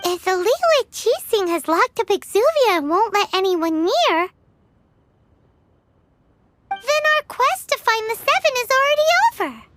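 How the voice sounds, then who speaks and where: Tiny squeaky fairy girl, video game, very high, swooping pitch, bubbly, naive. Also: big pitch swings, unhurried, pauses often, fairly bright tone, no room sound, heavily acted. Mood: curious, excitable. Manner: squeaky, exclaiming, earnest. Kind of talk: scripted video game character dialogue. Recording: dry studio voice over game music.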